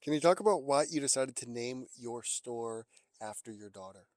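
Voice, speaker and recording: A man interviewing someone in a casual, conversational way, with somewhat halting phrasing. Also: mid-range voice, animated, darkish tone, dry recording.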